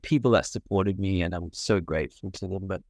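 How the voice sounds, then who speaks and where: A man from Sri Lanka, a medical doctor, answering interview questions earnestly, pausing often with fillers. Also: low voice, darkish tone, no echo, studio quality. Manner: earnest, with frequent pauses and fillers.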